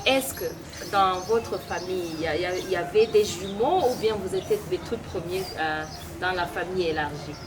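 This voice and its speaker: A woman from Burundi hosting an interview, speaking hesitantly with many filler pauses. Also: fairly low pitch. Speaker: interviewer and host. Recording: loud background noise.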